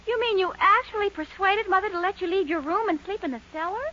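A coquettish young woman with a very high, bright voice on a muffled old radio recording. She is animated and teasing, in 1940s sitcom manner. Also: quick pace, soft background music. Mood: coy. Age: young adult. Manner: coy, teasing, emphatic.

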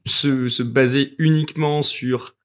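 A man in a mid-range voice asks interview questions in a relaxed, informal way. He pauses now and then with fillers as he frames his questions. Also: medium pitch. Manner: casual, informal, some hesitant fillers. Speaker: podcast host, interviewer.

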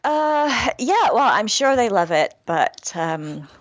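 A British woman, an author being interviewed, who talks warmly and laughs often. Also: mid-range voice, sing-song, slow pace, no echo, lo-fi sound. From Britain. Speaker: guest author. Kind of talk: casual interview.

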